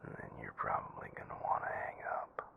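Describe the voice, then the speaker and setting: A man playing a terse, grave bearer of bad news in an audio roleplay, in a hushed, whispery voice with clipped phrases. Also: normal speed, phone-quality sound, lightly acted. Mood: grave, urgent.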